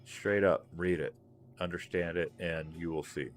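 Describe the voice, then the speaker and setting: A man in his forties hosting a gaming stream, ranting in a profane, rambling, animated way during casual voice chat. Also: low voice, unhurried. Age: 40s. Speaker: streamer host.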